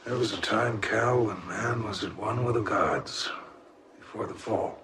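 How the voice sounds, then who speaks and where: An actor playing a calm, devoted cult recruiter in a film drama, persuading slowly and solemnly in a hushed voice. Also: male voice, on the low side, a crowd in the background. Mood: quietly persuasive. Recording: distant, noisy film clip with clicks.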